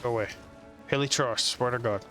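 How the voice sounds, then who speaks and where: Casting: male streamer, mid-pitched and sharp, casual grumbly play commentary over game music. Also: steady tone, quick pace. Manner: rambling, grumbling. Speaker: gaming streamer.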